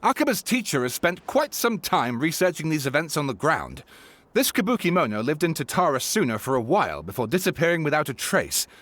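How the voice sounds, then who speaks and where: A man's voice: on the high side, very animated, a little fast, fairly bright tone. An actor playing a wry, bookish young male writer with an articulate, theatrical manner in a video game. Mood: eager, courteous. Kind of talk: animated video game voice acting.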